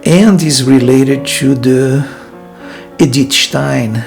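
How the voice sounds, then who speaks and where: Slow, gravelly man. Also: mid-range voice, soft background music. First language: Portuguese. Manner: thoughtful, hesitant, many pauses and fillers. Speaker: filmmaker and vlog host. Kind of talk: reflective personal vlog. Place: his room in a monastery.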